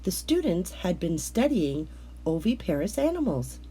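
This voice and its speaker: A woman reading a children's picture book aloud as a narrator, in a measured, expressive storytelling manner with drawn-out words. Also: fairly low pitch, slight background noise, low-quality recording.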